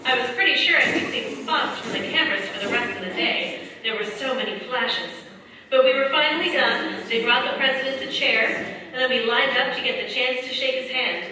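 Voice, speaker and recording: A woman's voice: high voice, brisk, sharp tone. An actress playing an earnest wartime heroine who narrates her own adventure in a dramatic, scripted radio play style. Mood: composed, recounting. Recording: echoey stage, distant mic, faint noise.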